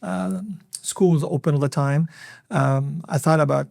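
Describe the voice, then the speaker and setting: A man in his fifties from Lebanon, an Arabic speaker, recounting his life in an earnest, reflective interview with many filler pauses. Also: on the high side, gravelly, a little mellow, no echo, studio quality. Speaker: hospital CEO, podcast guest. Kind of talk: interview storytelling.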